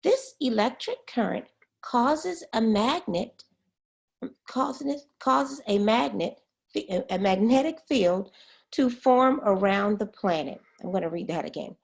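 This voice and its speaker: A woman reading a children's science book aloud as a teacher, expressively and pausing to explain words. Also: mid-range voice, creaky, darkish tone.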